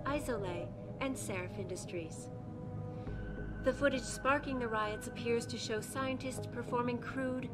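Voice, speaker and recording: A woman's voice: fairly high pitch, close to an everyday voice. Actress as a formal female news anchor, music behind. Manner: polished, formal delivery. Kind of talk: scripted news report.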